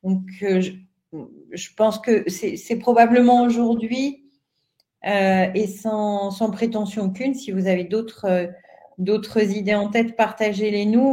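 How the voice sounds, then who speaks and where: A woman from northern France talks on a webinar panel at a middle pitch. She explains patiently and earnestly, stopping often to find her words. It is an everyday, unhurried voice. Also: warm tone. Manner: earnest and explanatory, with frequent hesitations. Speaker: panelist, self-employed business owner.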